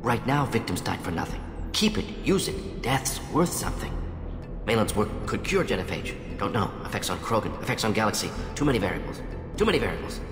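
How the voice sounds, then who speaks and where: A man's voice: on the low side, very animated, a little fast. A man playing an aging alien scientist and professor in a video game, speaking in clipped, telegraphic phrases that drop small words. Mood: pensive, clipped. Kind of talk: video game cutscene acting. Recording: echoey hall reverb under background music.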